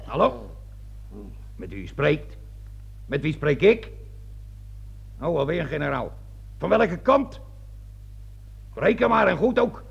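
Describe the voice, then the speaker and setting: An actor playing a blunt, stubborn young army sergeant in a radio play, with a gravelly, husky voice and plain soldierly speech. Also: male voice, a little high, very animated, takes it slowly, long pauses, full character voice. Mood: brisk, dutiful. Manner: plain-spoken, clipped soldierly delivery. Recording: dull, lo-fi radio play recording.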